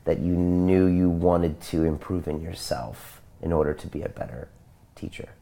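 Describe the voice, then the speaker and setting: Deep dark-voiced interview host. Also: male voice, level tone, normal speed, gravelly. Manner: brief, direct questions. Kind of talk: interview questions.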